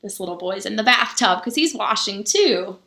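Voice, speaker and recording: A woman pastor reading a children's book aloud for story time, pausing to explain things simply to young listeners. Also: medium pitch, animated, sharp tone, a little hiss or hum. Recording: roomy, echoing sound.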